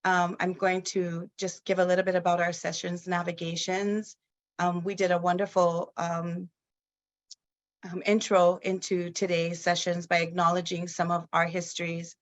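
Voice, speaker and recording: A woman from Hawaii presenting a webinar, speaking slowly with many pauses and fillers. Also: lowish voice, level tone. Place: at home in Hawaii. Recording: dull lo-fi video call audio.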